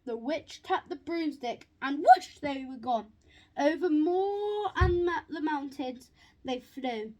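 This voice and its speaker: A woman reading a rhyming children's picture book aloud, haltingly, stumbling and repeating words as she goes. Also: sits high, lively intonation, a little mellow. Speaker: storybook reader.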